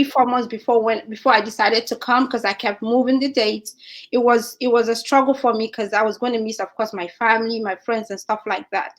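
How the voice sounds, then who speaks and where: A young woman from Nigeria with a Nigerian accent, chatting as a guest in an animated way and laughing often. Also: a little high. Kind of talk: casual chat.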